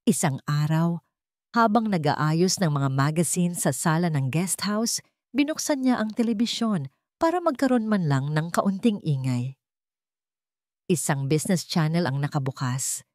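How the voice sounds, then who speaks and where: Low-voiced woman narrating a drama. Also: lively intonation, a little mellow, dry recording, studio quality. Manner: measured, dramatic storytelling. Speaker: storyteller.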